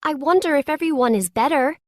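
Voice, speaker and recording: A woman acts out a spoiled English girl of about ten in a storybook tale. The voice is high and very dry in sound. The manner is petulant, stubborn and bossy. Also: fairly quick, no room sound, natural voice. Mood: wondering. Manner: demanding, petulant. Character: selfish, sour, stubborn ten-year-old English girl. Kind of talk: children's storybook acting.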